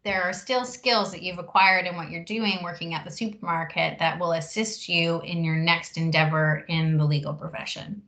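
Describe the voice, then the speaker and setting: A woman recruiter answering questions in an online workshop, measured and reassuring, pausing often to find her words. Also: on the low side, steady tone, fairly bright tone. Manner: measured, reassuring, with frequent pauses. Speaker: recruiter leading a career workshop.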